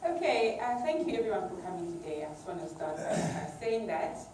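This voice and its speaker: A woman's voice: lowish voice, sing-song, a little fast, dark tone. Woman lecturing in a very echoey hall. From Uganda. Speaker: academic researcher giving an award lecture. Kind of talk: public lecture, semi-informal. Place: large echoey lecture room. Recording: distant mic, very echoey hall, clear background noise.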